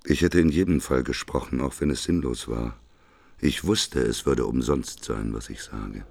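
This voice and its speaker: An actor playing a despairing, bitter prophet with a hoarse voice in a German radio play. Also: male voice, rumbling low, normal speed, darkish tone, dry recording, exaggerated voice. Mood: resigned pleading. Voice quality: hoarse, gravelly, rasping. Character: despairing old doomsday prophet, weary and bitter.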